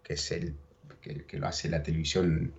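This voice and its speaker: Deep-voiced Argentine man, rambling. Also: little pitch movement, normal speed, gravelly, slightly rough. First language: Spanish and English, bilingual. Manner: rambling, repeats words, keeps saying ¿viste?. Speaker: interview guest. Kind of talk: casual storytelling chat. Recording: dull lo-fi audio.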